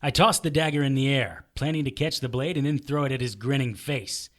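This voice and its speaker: A man's voice: medium pitch, a little fast, a bit bright, natural voice. Casting: actor as male detective narrator, middle register, dry, terse, world-weary, audio drama. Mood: tense, wry. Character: weary, wry male detective narrating his own fight.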